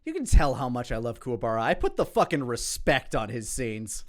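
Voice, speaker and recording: A man's voice: medium pitch, wildly swooping pitch, brisk, loud music behind the voice. A man giving casual commentary on his own work, rambling, candid and sweary. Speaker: creator commenting on own parody series.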